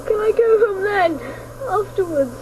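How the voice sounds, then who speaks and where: A frightened young child in a radio play. The voice is high and earnest, the words come slowly and pleadingly, with slight noise behind. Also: female voice, animated. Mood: anxious. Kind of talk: radio drama acting. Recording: faintly noisy radio drama recording.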